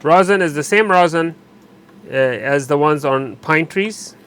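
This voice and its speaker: A man narrating a hands-on repair tutorial while he works, pausing and correcting himself as he goes. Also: a little high, a bit bright, smooth. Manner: unscripted, pauses and self-corrects while working. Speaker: electronics repair instructor.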